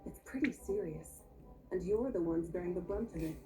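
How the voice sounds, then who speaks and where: An actress voicing a composed, confident female leader in a video game, speaking in a calm, direct and assured way. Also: lowish voice, moderate pace, over music, close to an everyday voice, poor audio. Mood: calm, serious. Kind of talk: scripted video game dialogue.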